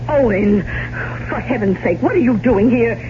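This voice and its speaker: A woman's voice: a little high, expressive, measured pace. Distressed woman in old radio play, dull hissy sound. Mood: alarmed. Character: startled, distressed woman, schemer's darling. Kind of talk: 1970s radio drama acting.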